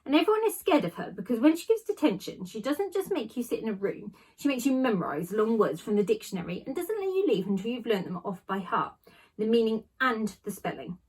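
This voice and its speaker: A woman reads a children's novel aloud like a teacher to a class, stressing words and pausing for drama. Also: on the high side, very animated, a little mellow, husky, poor audio.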